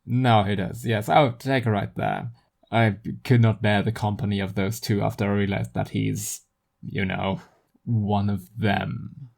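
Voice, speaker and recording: A paranoid middle-aged businessman in an audio drama as a solo narrator acts him out, low-key and dark in tone. He speaks haltingly and conspiratorially, insinuating his bigotry to whoever is listening. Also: fairly even intonation, vocal fry, exaggerated voice. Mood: conspiratorial, bitter.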